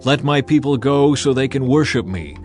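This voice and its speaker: A man's voice: mid-range voice, expressive, normal speed, a little mellow, lightly acted. Guy playing an unyielding old testament prophet, firm and proclaiming, bit of music behind. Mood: warning. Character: Moses, bold, unyielding male Hebrew prophet.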